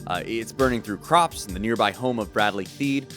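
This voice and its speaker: A man's voice: fairly low pitch, normal speed, a bit bright, faint music behind, natural voice. An actor playing a male news reporter on a spaceship's radio station in a sci-fi audio drama. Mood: urgent.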